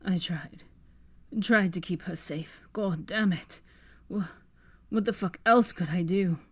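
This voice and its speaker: A woman's voice: on the low side, slow, husky. A woman voicing a feverish, dying young man who slurs and whispers in broken phrases. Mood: delirious. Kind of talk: audiobook fan fiction reading.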